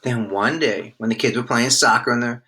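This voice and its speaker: A man reading a children's storybook aloud in an expressive storytelling manner. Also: mid-range voice, normal speed. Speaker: storybook reader.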